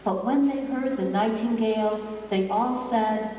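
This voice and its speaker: A woman's voice: on the high side, a little slow, mellow. A woman reading a scripted fairy tale as a storyteller. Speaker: narrator. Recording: echoey hall, distant mic, faint noise.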